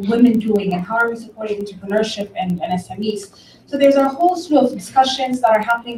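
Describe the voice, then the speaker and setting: A woman's voice: mid-range voice, warm tone. A woman from Ethiopia giving an informal, conversational talk to an audience, telling stories and addressing listeners directly. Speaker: conference speaker, tech company director. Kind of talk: informal public talk with storytelling. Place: event room, before an audience. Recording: roomy, distant mic, faint background noise.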